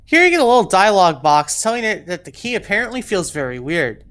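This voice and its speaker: A woman's voice: medium pitch, big pitch swings, quick pace, a bit bright. A woman narrating an instructional video game walkthrough in a step-by-step, directive way, as a guide for players. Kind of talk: instructional gameplay walkthrough voice-over.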